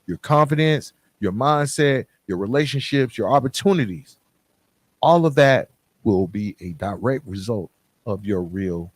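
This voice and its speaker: A man hosting a podcast, speaking in an emphatic, plain-spoken motivational style straight to the listener. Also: fairly low pitch. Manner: emphatic, repeats and contrasts phrases, plain-spoken. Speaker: podcast host. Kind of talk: motivational monologue addressed to listeners.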